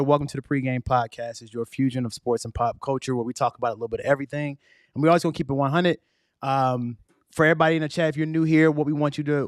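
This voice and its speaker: A man hosting a podcast in a casual, slangy way, his voice hoarse and fading. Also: on the high side, no room sound, studio quality. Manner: laid-back, slangy, conversational. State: losing his voice. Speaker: podcast host.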